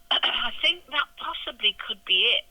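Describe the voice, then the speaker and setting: An older woman, an actress and interview guest, who talks in a loud, effusive and exclaiming way. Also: fairly high pitch, lively intonation, measured pace, low-quality recording. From England. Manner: effusive and gushing, exclaims often. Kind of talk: casual interview chat.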